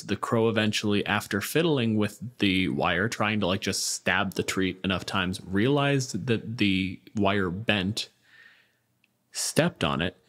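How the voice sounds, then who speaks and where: A man's voice: fairly low pitch, a bit bright. A man hosting a casual tabletop gaming podcast, talking in an explanatory, storytelling way. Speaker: podcast host.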